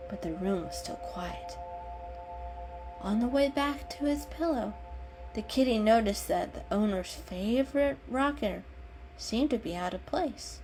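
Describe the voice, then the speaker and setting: A woman's voice: medium pitch, darkish tone, poor audio. A woman narrator giving a dramatic storybook reading. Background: music.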